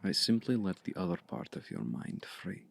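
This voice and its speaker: A seductive, predatory male vampire, acted out by a man for intimate ASMR roleplay. His voice is very low and husky, with a gravelly creak. He coaxes and commands in a hushed, deliberate murmur, patient and sure of his power. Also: sing-song, full character voice. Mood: seductive, coaxing. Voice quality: low, breathy, gravelly, often slipping into whisper. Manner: deliberate, commanding, coaxing with long pauses.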